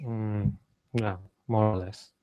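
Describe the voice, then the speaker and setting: A man's voice: lowish voice, level tone, dark tone, clear, resonant tone, no room sound. Hesitant young man telling a family story. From Ukraine. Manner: halting, many fillers and restarts. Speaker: workshop participant.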